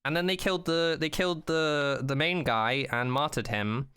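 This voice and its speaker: A man co-hosting a podcast, chatting casually and opinionatedly, acting out mock quotes in an animated way and swearing freely. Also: a little high, normal speed, smooth. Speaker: podcast co-host. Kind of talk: casual chat, opinionated rant about a TV show.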